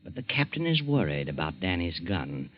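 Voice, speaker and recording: An actor playing an ominous, all-knowing mystery narrator in a theatrical, deliberate 1940s radio style. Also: male voice, deep voice, very animated. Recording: muffled 1940s radio, music behind.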